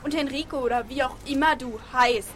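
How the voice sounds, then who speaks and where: A woman's voice: high-pitched, fairly quick, close to an everyday voice. A woman playing a cool, prickly young female songwriter with a curt, snide way of talking in a radio play. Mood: sarcastic, angry.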